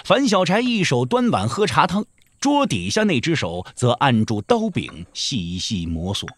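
A man's voice: medium pitch, huge pitch swings, unhurried, no echo, clean studio sound. A man narrating a Chinese wuxia audio drama in dramatic, scripted storytelling style.

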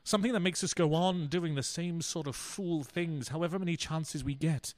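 An actor playing a bumbling, self-dramatizing husband in a radio play, stammering and florid. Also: male voice, fairly high pitch, moderate pace, close to an everyday voice. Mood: rueful.

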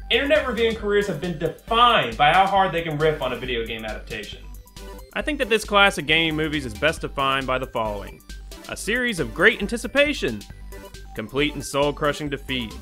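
A man's voice: a little high, bright, forceful tone. A man in his twenties narrating a scripted video essay as host, wry, sarcastic and profane, recorded in a small room at home. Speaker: host of a video game movie review show.

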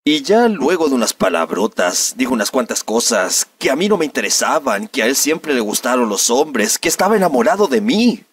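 A man's voice: mid-range voice, sing-song, brisk, hardly any pauses, breathy edge, dry recording, clean studio sound, recorded from a distance. A man dubbing an aggrieved young man in an interview, in neutral Latin American Spanish with indignant, melodramatic film-dub diction. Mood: indignant, dramatic. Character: aggrieved young man recounting a humiliation to reporters. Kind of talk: amateur comedic dub of a news interview.

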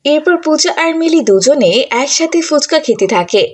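A woman's voice: high-pitched, expressive, normal speed, a little mellow. A woman reading scripted narration for a cartoon story.

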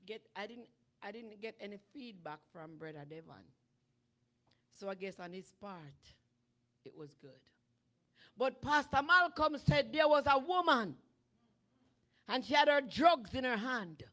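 A middle-aged woman preacher delivering a sermon from a church stage into a handheld microphone, rising from chatty storytelling to shouting. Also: fairly high pitch. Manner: animated preaching that builds to shouting. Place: church sanctuary with draped stage.

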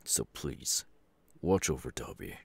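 A man playing a stern, weary middle-aged superhero father in an ASMR roleplay, with a deliberate, halting delivery. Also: very deep voice, lively intonation, creaky, a little mellow, breathy edge, no echo, heavily acted. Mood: weary, earnest. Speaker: voice actor.

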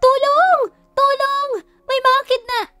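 A woman's voice: extremely high pitch, steady tone, takes it slowly, pushed and bright, dry recording, pitched above the performer's own voice, exaggerated voice. Woman acting brash, feisty young eatery worker. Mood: panicked. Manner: brash, teasing, accusing.

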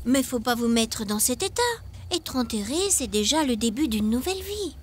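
A woman's voice: on the high side, animated, normal speed, a little hiss or hum, close to an everyday voice. A woman voicing a friendly female spider who guides children in an educational cartoon, reassuring and teacherly. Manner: reassuring, explanatory. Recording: music behind.

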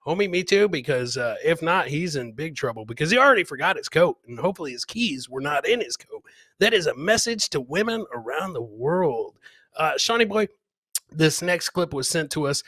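A man's voice: on the high side, big pitch swings, normal speed, a bit bright, no room sound. A middle-aged man hosting a live clip show, chatting casually and addressing viewers directly like a hype announcer. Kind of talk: casual livestream chat and commentary. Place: indoor home streaming setup. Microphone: close boom microphone with headphones.

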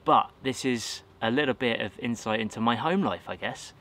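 An English man in his thirties talking casually to camera outdoors in a garden, relaxed and rambling with fillers and self-corrections. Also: medium pitch, normal speed. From England. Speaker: outdoors vlogger. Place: outdoors in a garden by a wooden shed.

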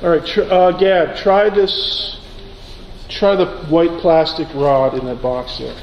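A man's voice: on the high side, fairly even intonation, takes it slowly, dull audio. A man teaching science through an informal demonstration, giving short instructions in a lecture hall. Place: large lecture auditorium. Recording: echoey lecture hall, some background noise.

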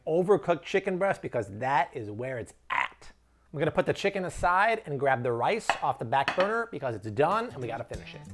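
Chicago man in his thirties, bouncy cooking host, home kitchen, lapel mic, music faint underneath. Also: fairly high pitch. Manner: upbeat, playful, salesy. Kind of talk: energetic cooking demo.

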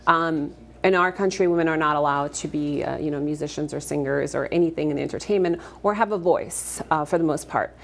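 A woman in her thirties from Afghanistan, a guest actress in a morning TV studio interview, speaking earnestly and fluently with soft fillers on a lapel mic. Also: sits low, brisk, gravelly. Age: 30s. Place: TV studio set, indoors.

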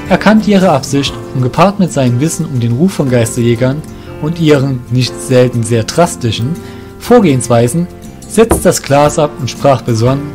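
A man's voice: medium pitch, lively intonation, moderate pace, over music. A man reading scripted narration for a fantasy audio drama.

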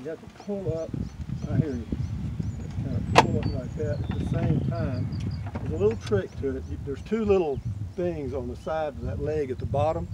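An older man casually demonstrating camping gear beside his truck outdoors, rambling and folksy, correcting himself as he goes. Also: fairly high pitch. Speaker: vlogger reviewing gear. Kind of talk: casual product demo. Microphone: camera close up on tailgate. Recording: outdoor recording with clear background noise.

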